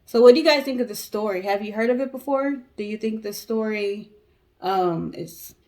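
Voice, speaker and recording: A woman's voice: mid-range voice, normal speed, a little mellow. An American woman co-hosting a storytelling show, talking casually and colloquially as she recounts true crime and speaks directly to viewers. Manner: conversational, colloquial, addresses audience directly.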